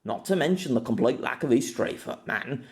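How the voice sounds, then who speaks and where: Storybook detective, performed by a man: older, Northern English accent, dry, respectful, lively pitch. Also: brisk, close to an everyday voice. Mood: puzzled. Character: older scruffy male detective sidekick, dry and deferential.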